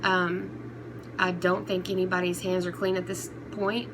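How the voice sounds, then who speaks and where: A woman's voice: fairly low pitch, little pitch movement, a little slow. A woman giving casual, unscripted opinion commentary as a vlogger, talking in a hesitant, rambling, conversational way. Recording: clearly noisy background ambience.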